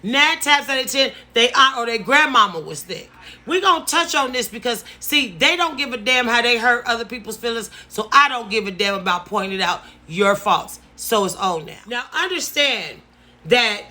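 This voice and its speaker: A woman's voice: on the high side, lively intonation, moderate pace, pushed and bright. A woman, talking casually to her viewers and stressing points by repeating words. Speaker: vlogger. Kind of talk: casual story-time talk to camera.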